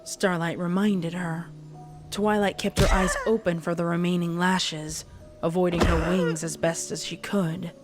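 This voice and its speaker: A woman's voice: fairly low pitch, little pitch movement, a little slow. A woman reading scripted fan fiction as a dramatic story narrator. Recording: narration over soft music.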